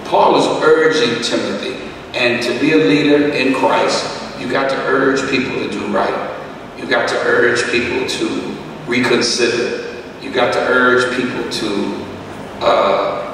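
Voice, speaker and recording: A middle-aged man teaching a Bible study as pastor, talking conversationally with many fillers and a slightly rough voice, at a podium mic. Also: mid-range voice. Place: church hall, indoors. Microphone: podium microphone, far camera. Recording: echoey hall, distant mic, background hiss.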